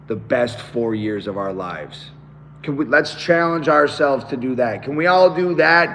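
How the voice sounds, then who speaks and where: A man with a hoarse, worn-out voice, chatting excitedly to viewers on a live stream. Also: medium pitch, in a large hall, a little hiss or hum. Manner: excited, talks straight to viewers. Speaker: musician hosting a live stream. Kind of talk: casual live-stream chat.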